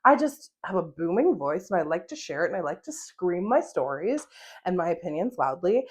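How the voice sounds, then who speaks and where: A young American woman hosting her own podcast, talking casually and excitedly with lots of fillers and laughs. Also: fairly low pitch, moderate pace. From United States. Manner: chatty, animated, with frequent laughs and fillers. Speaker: podcast host. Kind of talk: solo casual podcast monologue.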